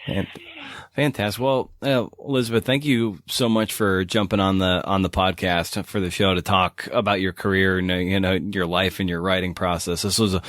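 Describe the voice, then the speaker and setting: A man's voice: sits low, fairly even intonation, moderate pace, fairly bright tone, no echo, clean studio sound. A man hosting an interview podcast in a casual, informal conversational way. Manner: informal, peppered with "you know". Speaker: podcast host.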